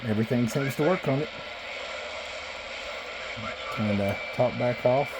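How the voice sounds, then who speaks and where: A man's voice: mid-range voice, measured pace. A man giving a casual, folksy product demo of radio gear, muttering informal asides as he tests it. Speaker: radio seller demonstrating equipment. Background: CB radio noise.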